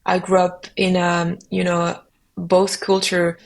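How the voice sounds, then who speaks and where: A young adult woman, a French native speaker, answering podcast questions reflectively and pausing often to find her words. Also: on the low side, monotone, creaky. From Belgium. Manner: reflective, searching for words with frequent ums. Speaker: musician guest.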